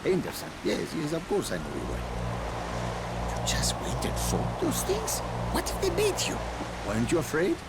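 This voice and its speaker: An actor playing a devout, grandiose and slightly unhinged missionary in a video game, speaking in formal, rambling, preachy phrases. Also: male voice, on the high side, huge pitch swings, moderate pace, heavy background noise. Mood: amazed. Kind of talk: video game cutscene acting. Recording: loud music over the voice.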